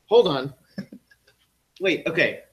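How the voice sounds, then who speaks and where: A young man talking on a live podcast in a living room, holding a handheld mic. His voice sits a little high, and he gets excitable, breaking into bursts of enthusiasm as he reads out viewer comments. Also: drawn-out words. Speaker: podcast co-host. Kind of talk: casual chat on a live podcast. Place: home living room, indoors.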